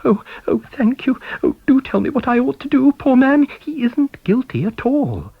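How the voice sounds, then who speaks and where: Man voicing an agitated, high-pitched elderly British woman. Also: big pitch swings, normal speed, creaky, husky, dry recording, exaggerated voice. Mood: agitated pleading. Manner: breathless pleading, distressed. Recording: dull, band-limited recording.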